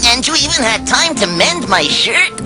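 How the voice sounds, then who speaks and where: A woman voicing an excitable, grateful little dwarf in a cartoon, wide-eyed and enthusiastic. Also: fairly high pitch, normal speed, music in the background. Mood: delighted.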